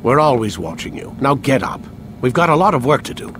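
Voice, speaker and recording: An actor playing a smug, lecturing captor in a video game, measured and condescending in how he talks. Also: medium pitch, big pitch swings, natural voice. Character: smug, lecturing male captor with grand theories. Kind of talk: video game cutscene acting. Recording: clear ambient hum and noise.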